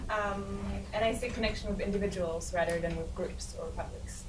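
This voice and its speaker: A woman's voice: mid-range voice, fairly even intonation, fairly quick. A woman from Poland introducing herself as a student in class. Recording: echoey, noisy room, distant mic.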